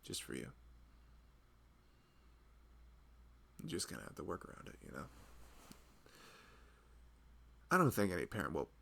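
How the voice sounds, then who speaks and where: Guy doing a sweet anxious husband asmr thing, real low gravelly voice, rambly and chatty. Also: huge pitch swings, very fast, fairly bright tone, a little hiss or hum, heavily acted. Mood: reflective. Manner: rambling, hesitant, conversational, frequent "you know". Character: loving young husband, tender, rambling, anxious about fatherhood. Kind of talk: intimate ASMR boyfriend roleplay, improvised-sounding chat.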